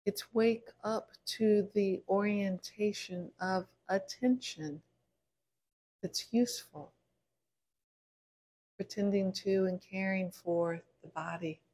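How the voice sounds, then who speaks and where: A woman meditation teacher leading a guided body scan in a gentle, unhurried way, pausing between short phrases. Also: medium pitch.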